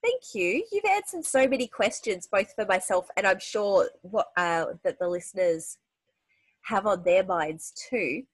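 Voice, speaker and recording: A woman's voice: medium pitch, lively intonation, unhurried, no room sound. A woman hosting a podcast, talking casually with a stuffy, nasal head cold, full of fillers and restarts. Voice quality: nasal, congested. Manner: chatty, with frequent fillers and restarts. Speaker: podcast host. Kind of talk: casual interview chat.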